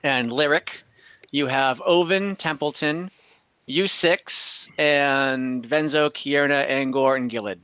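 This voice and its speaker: A man running an online tabletop fantasy game as game master, narrating off the cuff in halting fragments. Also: mid-range voice, pushed and bright, smooth, no room sound. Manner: halting, pieces scenes together in fragments. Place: indoors at home, on a group video call. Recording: slightly dull video-call audio.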